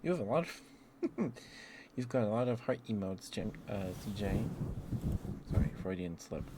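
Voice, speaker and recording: A man's voice: fairly low pitch, animated, moderate pace, heavy background noise. A man hosting a casual ASMR livestream, rambling and talking affectionately to viewers close to the microphone. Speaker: livestream host.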